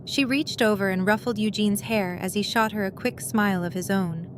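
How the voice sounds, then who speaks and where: A woman reads scripted story narration in a medium-pitched voice. She works as the narrator of an audio drama, with faint noise in the background. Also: moderate pace.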